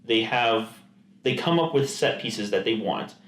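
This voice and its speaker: A man co-hosting a casual podcast chat, talking in animated quick bursts. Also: medium pitch, echoey room. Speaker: podcast co-host.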